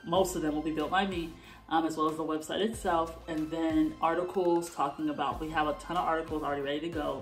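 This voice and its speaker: A young woman vlogs from her bedroom, talking straight to the camera in a relaxed, animated way and gesturing as she explains. Her voice sits low for a woman. Soft music plays faintly behind her. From born in Canada, raised in the US. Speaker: vlogger. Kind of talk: casual talk to camera. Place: bedroom at home, indoors.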